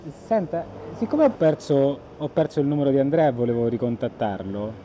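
A man's voice: medium pitch, expressive, fairly quick, dark tone. A man in his late thirties talking politely and hesitantly outdoors in a field recording. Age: late 30s. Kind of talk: polite real conversation on the street. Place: outdoors at a building intercom. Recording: ambient street noise, field recording.

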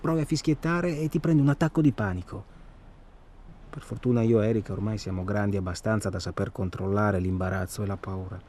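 A man's voice: lowish voice, fairly quick, a little mellow, natural voice. A man acting in a radio drama as a well-spoken, self-absorbed hunting traveller who talks insistently and at length. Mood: wry, talkative. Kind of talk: naturalistic radio drama dialogue. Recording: clear ambient noise behind.

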